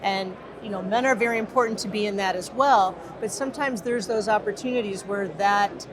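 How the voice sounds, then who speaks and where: A woman's voice: medium pitch, a little fast, background noise. A woman from the Midwest, co-owner of a protection business, answering interview questions in an earnest way with frequent fillers.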